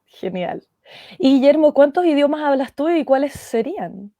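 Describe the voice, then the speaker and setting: A woman hosting an online panel talk, upbeat and quick with her reactions. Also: high voice, animated, warm tone, dry recording, highs cut off. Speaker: panel host.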